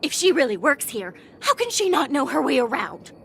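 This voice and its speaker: A woman's voice: high-pitched, sing-song, moderate pace, vocal fry, fairly bright tone, slight background noise, heavily acted. A woman playing an exasperated, suspicious young townswoman in a video game, incredulous and scolding. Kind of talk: video game character acting.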